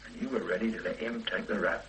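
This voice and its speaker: An actor playing a hard-pressing police detective who questions a suspect with needling sarcasm in a radio play. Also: male voice, fairly low pitch, fast, a bit bright, a little hiss or hum, lightly acted, poor audio. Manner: sardonic, needling interrogation. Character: hard-pressing male detective, sardonic, relentless. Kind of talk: 1970s radio drama acting.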